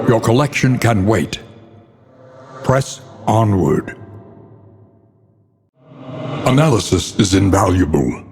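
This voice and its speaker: A grave, foreboding narrator for a gothic horror game. His low voice moves very slowly, with theatrical, ornate weight on each phrase. It rings out in a long reverb. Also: a little mellow. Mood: urging, grave.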